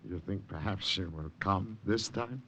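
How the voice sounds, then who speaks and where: Sly, menacing commandant, bright forceful voice. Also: male voice, low voice, husky, exaggerated voice. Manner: silky mock politeness, turning to barked commands. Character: sly, menacing German army commandant, falsely courteous, given to barking orders. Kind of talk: 1950s radio drama acting. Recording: muffled vintage radio recording, light noise.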